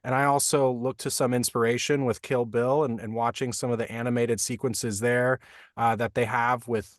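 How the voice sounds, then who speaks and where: A man who works as a voice actor, explaining his craft in a relaxed, thoughtful way with many fillers, from a home recording booth. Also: medium pitch, no echo, studio quality. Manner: thoughtful, frequent fillers like "like" and "you know". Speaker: voice actor guest. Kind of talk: casual interview answers.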